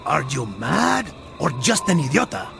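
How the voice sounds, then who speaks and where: An actor playing a wry, exasperated Spanish mercenary in a video game, with a Spanish accent and a sardonic manner. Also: male voice, a little high, measured pace, creaky, noisy background, heavily acted. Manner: sardonic, animated, peppers talk with Spanish words. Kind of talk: video game cutscene acting. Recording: loud music behind the voice.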